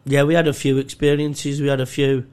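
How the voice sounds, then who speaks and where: A man's voice: mid-range voice, completely flat delivery, a little fast, darkish tone, clean tone. A young man, a comedian in a casual podcast chat, talking in sweary, rambling stretches full of restarts and tag questions. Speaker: comedian guest.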